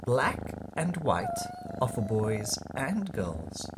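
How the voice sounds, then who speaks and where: A storyteller reading a picture book aloud to young children with playful, deliberate, drawn-out phrasing. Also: medium pitch, big pitch swings, background noise. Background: music and sound effects.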